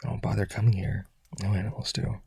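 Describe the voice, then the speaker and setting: Male actor as needy aristocrat vampire for roleplay audio: deep-leaning, heavily raspy, pleading, halting, desperate to please. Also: little pitch movement, dark tone, dry recording, heavily acted. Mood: lonely, earnest. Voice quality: husky, creaky. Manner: hesitant, pleading, eager to please. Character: lonely rich male aristocrat vampire, eager, clingy yandere suitor.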